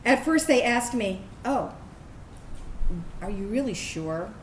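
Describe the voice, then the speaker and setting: A woman's voice: fairly high pitch, slow pace. Earnest woman acting a veteran in echoey room. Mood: earnest, resolute. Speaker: actor reading a veteran's personal narrative. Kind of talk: staged reading of a personal monologue. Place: indoor room, staged reading. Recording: echoey room, steady background noise.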